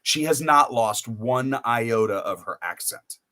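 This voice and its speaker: American male host, bright forceful voice, loose chatty interviewer who wanders into anecdotes. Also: mid-range voice, a little slow. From United States. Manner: rambling, storytelling with many restarts. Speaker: podcast host. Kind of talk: casual interview chat.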